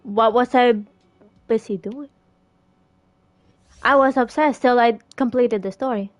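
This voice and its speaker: A woman game streamer chatting casually in a slangy, halting, rambling way. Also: fairly high pitch, dry recording, muffled recording, faint music behind. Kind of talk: casual gaming stream chat.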